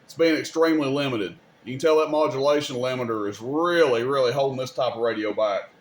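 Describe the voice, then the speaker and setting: A man's voice: medium pitch, fairly quick, slight background noise. A man hosting a casual, hands-on technical demonstration, speaking informally and directly to viewers in a folksy way. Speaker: video host demonstrating CB radio gear.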